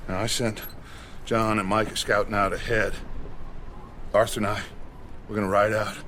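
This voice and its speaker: An actor playing a charismatic middle-aged American outlaw gang leader in a western game, speaking in a deliberate, oratorical, paternal way. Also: male voice, fairly low pitch, little pitch movement, sharp tone, a little hiss or hum. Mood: grave, steady. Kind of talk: cinematic western game acting.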